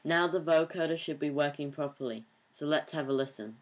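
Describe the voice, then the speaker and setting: A man co-presenting a software tutorial, giving instructional narration. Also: on the high side, a little fast, rough recording.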